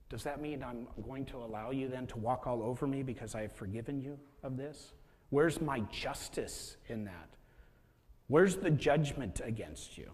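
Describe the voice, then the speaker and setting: A man's voice: mid-range voice, a little mellow. A man in his thirties preaching a sermon as pastor, chatty, with rhetorical questions and acted-out inner thoughts. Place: church sanctuary with a stage. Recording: long reverb of a church hall.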